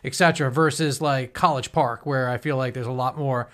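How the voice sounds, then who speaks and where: A man's voice: medium pitch, fairly even intonation, brisk, fairly bright tone. A man in his forties interviewing guests in a casual, rambling way, often restarting his sentences. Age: 40s.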